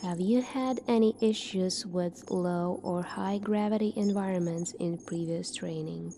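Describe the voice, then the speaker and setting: Slow, soft-spoken woman doing ASMR roleplay. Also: fairly low pitch, fairly even intonation, slight background noise. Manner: measured, deliberate, clinical. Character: calm, formal female military doctor in a sci-fi future.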